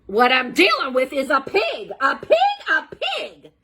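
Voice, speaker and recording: A woman's voice: high-pitched, huge pitch swings, a little slow, pushed and bright, pitched above the performer's own voice, exaggerated voice. A woman voicing an irate, bossy older sister from a children's storybook, indignant and emphatic. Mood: exasperated.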